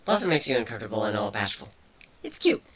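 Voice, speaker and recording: A performer voicing a feisty, defensive female changeling with a sharp tongue in a dramatic story reading. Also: mid-range voice, sing-song, fast talker. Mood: teasing. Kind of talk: dramatic fan fiction reading.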